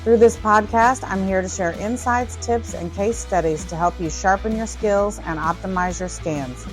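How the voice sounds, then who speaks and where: A woman in her fifties hosting a podcast, delivering a polished scripted intro and interview questions in an even voice. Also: medium pitch, moderate pace, low-quality recording. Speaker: podcast host, sonographer. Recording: dry close mic with faint music. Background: faint music.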